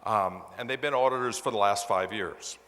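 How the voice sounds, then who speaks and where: A low-voiced man presents to a meeting in a long, ringing hall. His tone is forceful and bright, and he explains things step by step like a conference speaker. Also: moderate pace. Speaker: presenter introducing a dramatized case study. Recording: echoey hall with long reverb.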